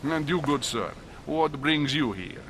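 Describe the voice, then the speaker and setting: Game role: urbane, sly cardinal, male, mid-pitched and very expressive, noisy rumble behind. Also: measured pace. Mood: sly, knowing. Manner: courtly, knowing. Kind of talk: video game cutscene acting.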